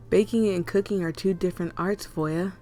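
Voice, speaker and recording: A woman with a hoarse, failing voice voicing a calm, wry young man in a game. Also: lowish voice, measured pace, natural voice. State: hoarse, losing her voice.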